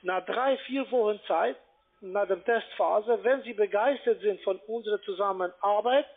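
A man with a foreign accent, speaking non-native German as he gives a telephone sales pitch. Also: normal speed, gravelly. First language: not German. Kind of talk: sales pitch on a phone call. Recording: muffled narrow-band phone line.

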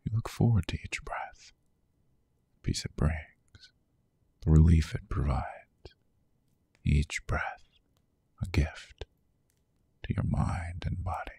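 A man playing a seductive, soothing vampire lover in an ASMR sleep roleplay, speaking in a hushed, coaxing, breathy whisper. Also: a bass voice, lively intonation, slow pace, pauses often, no echo, exaggerated voice. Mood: soothing, intimate.

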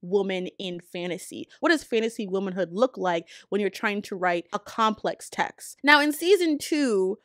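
A woman's voice: medium pitch, lively intonation, normal speed, hardly any pauses, a bit bright, dry recording, studio quality. A woman in her thirties giving informal, emphatic, opinionated commentary as a video essayist. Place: small room at home. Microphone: close desk microphone on arm. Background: background music.

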